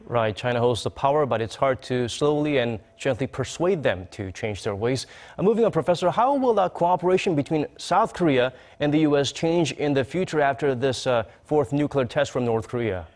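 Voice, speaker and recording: A male news presenter interviews in a formal broadcast register. His pitch sits in the middle for a man, and his phrasing sometimes hesitates as he frames questions. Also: lively intonation, moderate pace, a little mellow.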